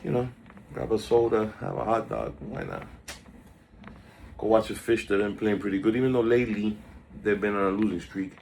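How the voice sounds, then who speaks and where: A man's voice: on the low side, expressive, moderate pace, gravelly, darkish tone, a little hiss or hum, poor audio. A man hosting a casual stock market video, chatty and drifting off topic while reading out stock moves, recorded on a phone. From South Florida. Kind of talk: casual rambling market commentary.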